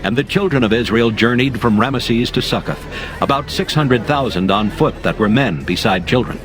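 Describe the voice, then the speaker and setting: A man narrating a dramatized scripture reading in a steady, formal manner over music. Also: mid-range voice, moderate pace. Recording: music under the voice.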